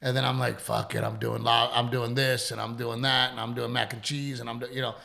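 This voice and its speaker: A man's voice: medium pitch, little pitch movement, fairly quick, gravelly, bright, forceful tone. A middle-aged man, a comedian hosting a podcast, chatting casually in a rambling, profane, self-deprecating way. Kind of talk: casual chat.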